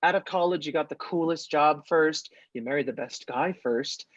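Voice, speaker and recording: A man's voice: on the high side, moderate pace, no echo, natural voice. Brief: man as catty, jaded party friend with a competitive edge; dull lo-fi video-call sound, very dry. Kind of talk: short film table read.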